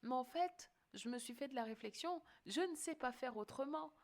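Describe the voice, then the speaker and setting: A woman's voice: high-pitched, lively intonation, normal speed. A woman hosting a motivational coaching podcast, speaking emphatically and often checking in with the listener. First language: French-English bilingual.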